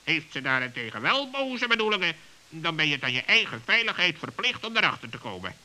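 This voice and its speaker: Wise bird, high and really sharp, swoopy pedantic delivery, kids radio play. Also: male voice, big pitch swings, exaggerated voice, low-quality recording. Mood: persuasive. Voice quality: sharp, piercing. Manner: emphatic, drawn-out, pedantic. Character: clever, persuasive, wise male talking bird. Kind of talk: children's radio play acting.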